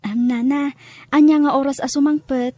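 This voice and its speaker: An actress playing an earnest, respectful young adult granddaughter in an Ilocano drama, with an Ilocano accent. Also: female voice, sits high, fairly even intonation, moderate pace, darkish tone, no echo, clean studio sound, close to an everyday voice. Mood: polite, hesitant. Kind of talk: Ilocano radio-style drama acting.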